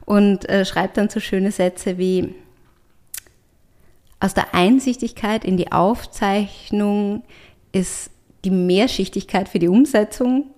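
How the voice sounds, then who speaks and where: Female writer and artist as a guest, mid-pitched, eager, piles up lists, restarts her sentences. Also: takes it slowly, darkish tone. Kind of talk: conversational interview.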